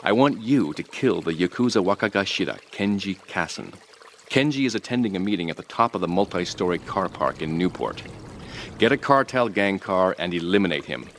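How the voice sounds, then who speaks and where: An actor playing a cold, polished, middle-aged business tycoon in a video game cutscene, speaking in a measured, formal, calculating way. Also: male voice, low-pitched, lively intonation, a bit bright. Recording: noisy city street behind.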